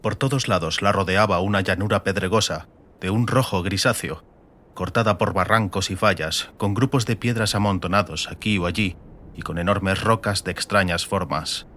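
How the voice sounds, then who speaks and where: Male narrator for a fantasy audiobook, fairly low register, dramatic scripted delivery. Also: normal speed, a bit bright.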